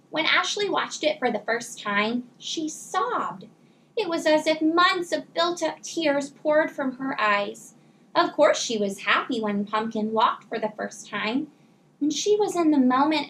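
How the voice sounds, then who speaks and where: Female storyteller, high voice, teacher-style expressive read-aloud for kids, slightly noisy recording. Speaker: teacher reading a children's book aloud.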